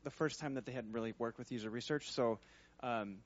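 A man's voice: mid-range voice, fairly quick, dull audio. A man, a user researcher, talking in a casual workshop Q&A, rambling and hesitant with many fillers.

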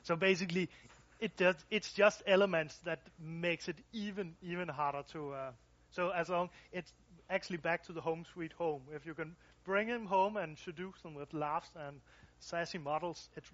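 A workshop presenter answers audience questions in English, his voice pitched somewhat high. He restarts often and repeats words as he searches for phrasing. The recording is dull and lo-fi. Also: moderate pace, fairly bright tone. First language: Danish.

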